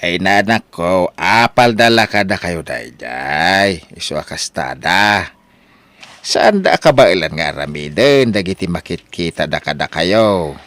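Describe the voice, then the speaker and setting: Guy doing a wise old ilocano dad, low voice that rises and falls a lot, sighing advice, radio drama. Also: sing-song, measured pace, a bit bright, dry recording, heavily acted. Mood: troubled, advising. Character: patient, wise elderly father giving gentle advice. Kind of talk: Ilocano audio drama acting.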